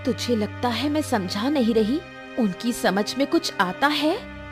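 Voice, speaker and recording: A woman's voice: fairly high pitch, expressive, unhurried. A woman playing a loving, worried village mother in a cartoon, pleading and emotional. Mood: indignant. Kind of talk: Hindi cartoon voice acting. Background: background music.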